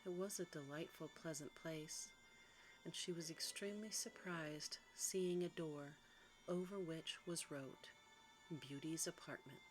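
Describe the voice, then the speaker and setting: Low-pitched woman reading a sleep story. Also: steady tone, measured pace, rough recording. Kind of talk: quiet ASMR sleep-story narration. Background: music.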